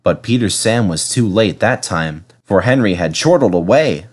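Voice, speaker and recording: A man reading a children's story aloud as an expressive narrator. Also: fairly low pitch, moderate pace. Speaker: storybook reader.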